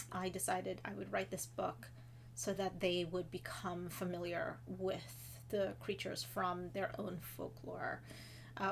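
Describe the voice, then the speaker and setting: A woman's voice: medium pitch, slight background noise. A woman from Trinidad and Tobago, a children's author, answering kids' questions in a casual chat from her home office, with fillers and friendly asides. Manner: chatty, with fillers and warm asides to kids.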